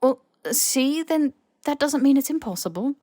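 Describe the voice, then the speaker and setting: A woman's voice: high voice, lively intonation, mellow, dry recording, clean studio sound, pitched above the performer's own voice. A woman voicing a weary, exasperated male officer who talks in a casual, blunt, insistent way in an audiobook. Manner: informal, halting, insistent.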